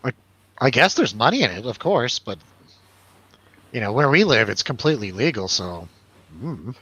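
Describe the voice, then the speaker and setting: A man's voice: medium pitch, very animated, normal speed, fairly bright tone, breathy edge. A man hosting a casual film review chat, talking in a loose, hesitant, easygoing way over a slightly lo-fi recording. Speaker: host of a film review show.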